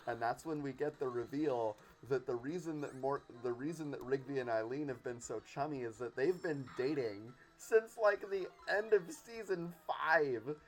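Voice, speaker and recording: A man with a medium-pitched voice chats in an enthusiastic, rambling way. He sounds like a podcast guest in casual conversation, with game music playing faintly underneath. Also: moderate pace, few pauses, rough recording. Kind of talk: casual chat about cartoons.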